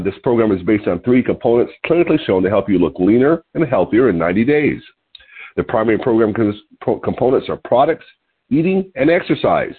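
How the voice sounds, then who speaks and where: A man's voice: fairly low pitch, husky. A man in his sixties, nasal and sniffly from allergies, hosting a call and reading an article aloud with small chuckling asides. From California. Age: 60s. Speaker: host. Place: home room. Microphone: phone line. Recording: tinny phone-line call.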